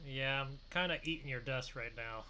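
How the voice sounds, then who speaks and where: A man bantering in an improvised gaming roleplay, excitable and full of exclamations, often urging others on. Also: medium pitch, moderate pace. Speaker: gaming video creator playing a truck roleplay. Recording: faint background noise.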